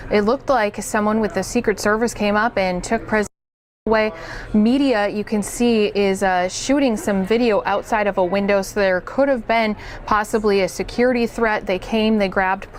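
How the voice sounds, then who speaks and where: A woman's voice: mid-range voice, fairly even intonation, normal speed. A woman news anchor giving improvised, explanatory live commentary on breaking news. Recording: faint background noise.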